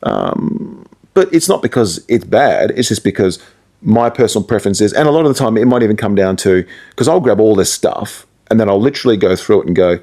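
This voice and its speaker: A man's voice: lowish voice, lively intonation, a little fast, warm tone. A middle-aged man with an Australian accent, a radio presenter talking casually in an interview and thinking aloud as he goes. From Perth, Australia.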